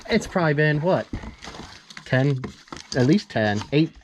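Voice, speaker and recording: A man's voice: on the high side, expressive, measured pace. A man in casual, plainspoken conversation as a vlog host, sounding amazed. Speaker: vlog host visiting a radio collector. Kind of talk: casual chat. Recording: clear ambient and vehicle noise.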